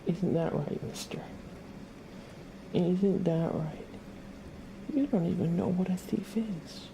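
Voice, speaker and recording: A man speaking in a soft, gravelly whisper, chatting casually and gently as an ASMR live streamer greeting viewers. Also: sits high, slow, background noise. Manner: gentle, rambling chat with viewers and pet. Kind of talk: ASMR livestream casual chat.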